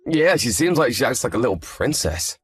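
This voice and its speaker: A man's voice: mid-range voice, sing-song, brisk, slightly rough, dry recording. A man playing an earnest, frank young husband in scripted story dialogue, speaking expressively. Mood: chatty, frank.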